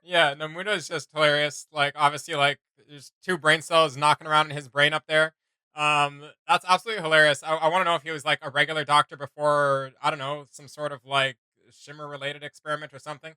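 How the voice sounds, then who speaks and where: Male reaction host: crisp, slightly high voice, amused, conversational. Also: little pitch movement, quick pace, bright, forceful tone, no echo. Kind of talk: casual reaction commentary.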